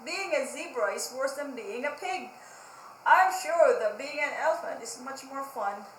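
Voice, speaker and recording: A woman's voice: high-pitched, expressive, a little slow, reverberant, slight background noise, poor audio. A woman voicing a restless, boastful pig from a picture book, exclaiming and drawing out words. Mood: dismayed.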